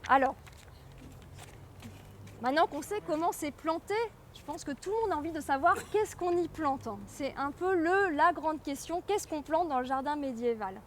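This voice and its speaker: A woman giving an informal public lecture, explaining things with hesitant pauses and repeated words. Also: high-pitched, lively intonation, a little hiss or hum. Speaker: guest lecturer, medieval historian.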